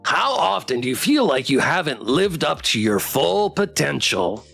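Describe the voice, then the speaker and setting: A man hosting a casual game livestream, reading text aloud and chatting with playful, expressive reactions. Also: a little high, unhurried, creaky, soft background music. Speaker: game streamer and host.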